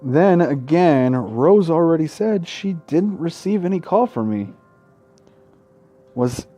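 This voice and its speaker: A young man narrates a Let's Play in a casual, unpolished way. His voice is warm and mellow at an average male pitch. He speaks haltingly and trips over words now and then. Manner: halting, stumbles over words while reading. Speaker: gaming video host.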